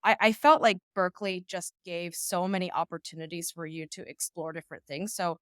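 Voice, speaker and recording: Low-voiced reflective woman founder. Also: steady tone, brisk, a bit bright, no echo, studio quality. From United States. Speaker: startup founder and CEO, guest. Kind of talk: podcast interview.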